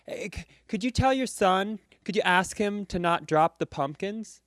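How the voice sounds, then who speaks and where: Comic anecdote role: male performer as fussy, indignant woman, raised pitch, insistent complaints, close dry sound. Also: expressive, normal speed, a bit bright, no room sound. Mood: prim, interrupting. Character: prissy, indignant adult woman scolding at a pumpkin patch.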